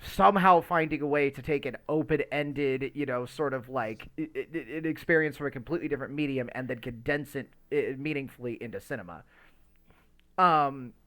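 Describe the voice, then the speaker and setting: A man's voice: medium pitch, brisk, a bit bright. A man talks casually as a podcast co-host, in a mid-range voice. He rambles through his points, often restarting and doubling up words as he searches for the next phrase. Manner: rambling, stammers over repeated words. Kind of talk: casual movie discussion chat.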